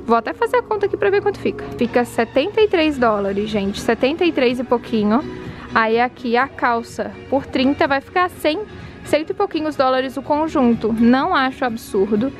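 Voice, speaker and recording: A woman's voice: high-pitched, expressive, moderate pace, faint music behind. A woman casually vlogging her walk through a large department store, reading out prices and talking straight to her viewers. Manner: chatty, reads out prices, addresses viewers. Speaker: shopping vlogger.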